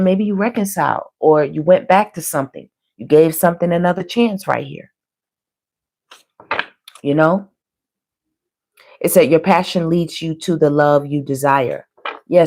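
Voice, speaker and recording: A woman with a low, hoarse voice hosts a live tarot reading. She talks casually and colloquially to her viewers, often checking in as she interprets the cards. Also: darkish tone. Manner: colloquial, frequently checking in with "you know" and "okay". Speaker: tarot reader hosting a live online reading.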